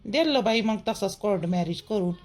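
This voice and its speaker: A woman's voice: medium pitch, lively intonation, moderate pace, a little mellow. A woman voicing a village woman in a Marathi cartoon, speaking plain colloquial Vidarbha Marathi in a matter-of-fact way. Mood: resigned.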